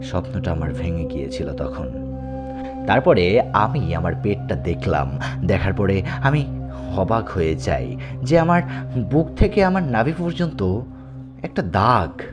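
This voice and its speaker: A man narrates horror tales in the first person as a show host. His delivery is dramatic storytelling, and music plays clearly behind him. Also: low voice, lively intonation, a little slow, long pauses. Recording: music bed under the voice. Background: background music.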